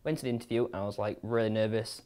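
A man's voice: lowish voice, level tone, rapid-fire, mellow. A young man with a northern English accent, an engineering student chatting casually in an interview. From Sheffield, England. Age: young adult.

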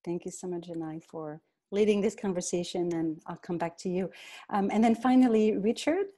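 A woman's voice: lowish voice, moderate pace, dark tone. A woman hosting a podcast over video call, giving short gracious prompts between speakers. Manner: gracious, brief moderator prompts. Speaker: podcast host.

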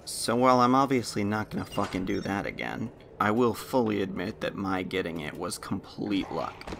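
A man's voice: fairly low pitch, expressive, moderate pace, vocal fry, background noise. A man giving casual, offhand and frank commentary on his own video game playthrough.

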